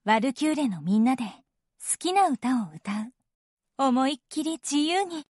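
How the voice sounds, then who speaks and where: A woman voicing a gentle, dependable young idol group leader, polite and composed, in anime-style prompts. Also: high voice, animated, a little slow, husky, no room sound, studio quality, full character voice. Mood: composed, earnest.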